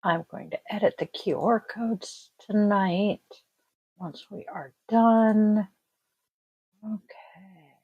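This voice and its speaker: A woman's voice: medium pitch, slow pace, pauses often, breathy edge, no echo, dull audio. A middle-aged woman from Washington State hosting a casual tarot livestream, tired and congested, rambling and trailing off with sighs. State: congested, sniffly. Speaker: tarot reader and livestream host.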